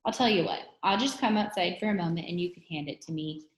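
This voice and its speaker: A woman's voice: on the low side, fast, natural voice. A woman voicing a sly male teacher who is evasive and feigns pleasant politeness. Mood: falsely polite. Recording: echoey room.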